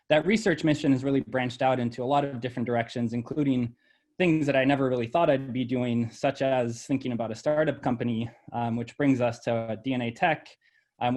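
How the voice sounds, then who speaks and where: A middle-aged male university researcher talks his listeners through a slide presentation. His pitch sits in the ordinary middle range. He speaks in a measured, explanatory way and scatters ums and uhs through his sentences. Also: a little mellow. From born in El Salvador, raised in Ohio.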